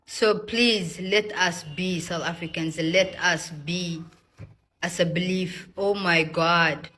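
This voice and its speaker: A woman speaking directly to listeners in an earnest, pleading and emphatic appeal, choosing her words deliberately. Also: on the low side, steady tone, fairly bright tone. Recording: noisy, with background ambience.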